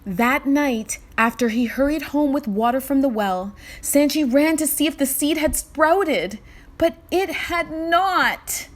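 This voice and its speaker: A woman narrating a children's story read-aloud in an expressive, deliberate way. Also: high-pitched.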